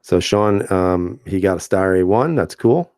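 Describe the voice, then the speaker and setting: A man hosting a casual live stream, reading viewer comments aloud and answering in a relaxed, chatty way with frequent fillers and easy laughs. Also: deep voice, a little slow, dry recording, clean studio sound. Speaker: channel host reading live chat.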